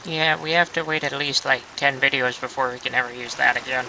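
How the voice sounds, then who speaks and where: A man's voice: mid-range voice, steady tone, fairly quick, creaky, noisy background. A man chatting casually as he co-hosts a gameplay video. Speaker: let's play co-host. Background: game music.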